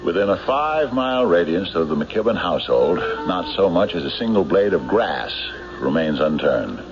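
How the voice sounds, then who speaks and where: Deep-voiced, dogged radio-drama sheriff. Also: male voice, very animated, moderate pace. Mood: troubled disbelief. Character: dogged, stubborn small-town county sheriff, man. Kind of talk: 1970s radio drama acting, logbook narration and dialogue. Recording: dull 1970s radio recording, music behind.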